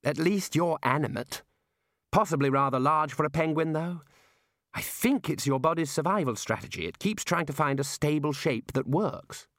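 A man's voice: a little high, animated, normal speed, a bit bright, no room sound, studio quality, voice pushed up. A man voicing an anxious, earnest young wizard in a storybook, fussy and insistent as he explains. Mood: glum, earnest.

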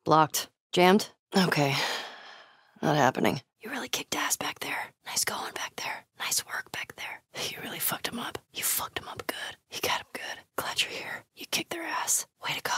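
A woman's voice: on the low side, big pitch swings, moderate pace, fairly bright tone, breathy edge, no room sound, whispered, heavily acted. An actress playing a tough, foul-mouthed, wry young woman survivor in a naturalistic video game performance. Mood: hushed, muttering. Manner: muttering, terse, casually profane.

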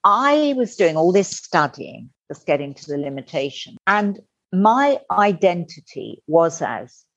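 A middle-aged woman telling her personal story as a podcast guest, candid and animated, acting out the voices she quotes. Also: on the low side, measured pace, no echo. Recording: dull lo-fi dry sound.

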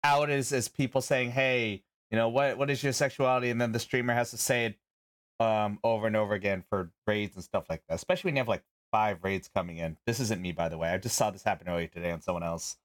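A man's voice: lowish voice, fairly even intonation, fast. Rambling male streamer, casual chat. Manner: rambling, self-interrupting. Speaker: streamer, podcast host.

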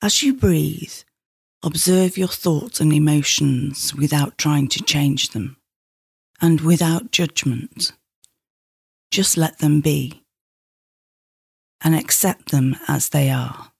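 A middle-aged woman leading a guided meditation in a soothing, measured, instructing manner. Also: low voice, creaky, a little mellow, breathy edge, no echo, clean studio sound.

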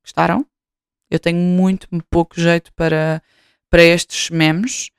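Rambling Portuguese woman, smooth and dry. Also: fairly low pitch, level tone, unhurried, no echo. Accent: European Portuguese. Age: 20s. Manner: rambling, swears freely, self-mocking. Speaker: podcast host. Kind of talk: casual chat.

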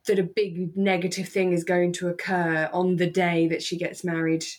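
A woman's voice: fairly low pitch, level tone, moderate pace. A British woman co-hosting a podcast, talking in a casual, conversational way. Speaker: podcast co-host. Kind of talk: casual chat.

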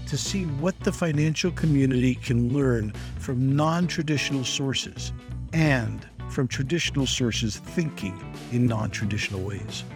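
A man hosting a podcast, reading a polished introduction and then asking his guest questions in a measured, articulate way. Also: mid-range voice. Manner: measured, articulate, reads from a script. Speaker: podcast host. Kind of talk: scripted intro then interviewing.

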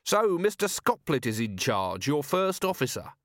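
A male narrator acts a sardonic commander of a fantasy city watch. He is self-assured and calm, needling people wryly in a slightly lifted voice. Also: a little high, normal speed, no room sound, studio quality, voice pushed up. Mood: mock puzzled.

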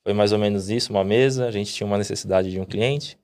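A man with a lowish voice leads a relaxed business podcast. He talks at an easy pace and keeps checking in with his listeners through little tag questions. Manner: unhurried, frequent tag questions. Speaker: host, company partner. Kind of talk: casual podcast chat.

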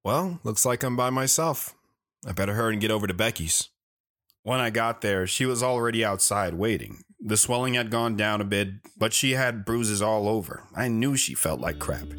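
A man's voice: fairly low pitch, expressive, normal speed, a bit bright. A man playing an earnest, awkward teenage athlete who recounts his past in an audio drama, speaking plainly. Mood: reflective. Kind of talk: dramatic first-person audio drama narration and dialogue.

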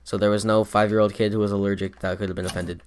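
A man's voice: lowish voice, little pitch movement, fast, darkish tone, a little hiss or hum. A young man co-hosting a podcast in casual chat, rambling with lots of fillers and restarts, often laughing. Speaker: podcast co-host and rapper.

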